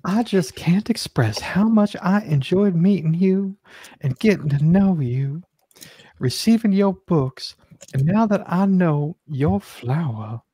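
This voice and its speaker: Woman doing gushy lady clerk reading her flattering email, slightly husky, super dry close sound. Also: on the low side, slow, dry recording, exaggerated voice. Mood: gushing. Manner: effusive, performed reading of a letter. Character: gushing Southern woman clerk writing effusive emails.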